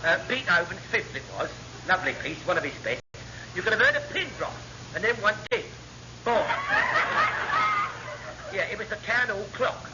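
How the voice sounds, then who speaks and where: An actor playing a wily chief petty officer in a vintage radio comedy, spinning dubious tales with glib mock innocence. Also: male voice, high voice, expressive, normal speed, long pauses. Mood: mock-innocent. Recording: dull, noisy old radio recording.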